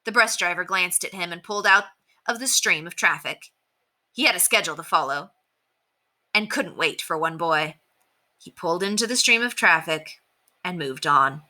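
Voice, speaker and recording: A woman's voice: fairly low pitch, expressive, moderate pace, sharp tone. A woman reading a children's chapter book aloud as a storyteller, in scripted narration.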